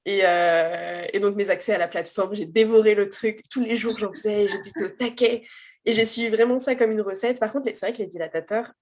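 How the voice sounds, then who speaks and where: A woman's voice: mid-range voice, fairly even intonation, a little mellow. Candid woman telling her story informally. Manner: candid, informal, halting and emphatic. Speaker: interview guest giving a personal testimonial. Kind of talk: casual personal storytelling.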